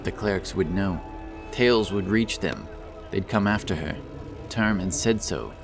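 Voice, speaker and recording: A man with a low voice narrates a scripted fantasy tale. His delivery is intense and theatrical, in the manner of a dramatic audiobook. Music runs clearly beneath his narration. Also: unhurried.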